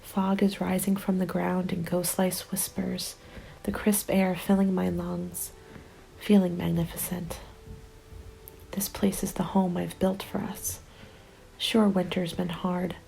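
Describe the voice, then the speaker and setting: A woman voicing a serene, dreamy survivor's letter in a soft, breathy, measured ASMR roleplay delivery. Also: fairly low pitch, poor audio. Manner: calm, wistful, measured.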